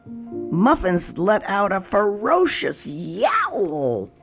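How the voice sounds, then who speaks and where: Children's read-aloud narrator: expressive and very lively in pitch, slow delivery, light music behind. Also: vocal fry. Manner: expressive, unhurried, addressing young children.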